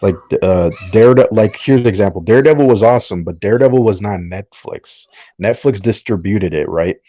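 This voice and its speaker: A man's voice: fairly low pitch, brisk, mellow, no room sound, highs cut off. A man giving opinions in a casual discussion, explaining his points and checking that listeners follow. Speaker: podcast panelist.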